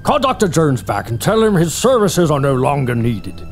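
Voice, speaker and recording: An actor playing an obsessed, greedy older male archaeologist who talks pompously and cruelly, with a gravelly, husky voice. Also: a little high, sing-song, normal speed, faint music behind. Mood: imperious. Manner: pompous, cruel, bursts into manic shouting. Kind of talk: audio drama acting.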